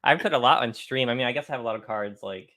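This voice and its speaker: A man's voice: medium pitch, very fast, bright, forceful tone. A man co-hosting a live podcast, chatting casually and responding to comments.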